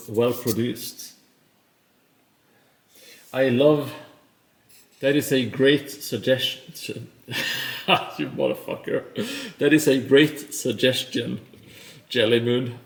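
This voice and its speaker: Slow, gravelly Norwegian-accented man. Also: medium pitch. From Norway. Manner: rambling and self-mocking, with frequent pauses. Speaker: streamer. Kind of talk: casual live-stream chat while building.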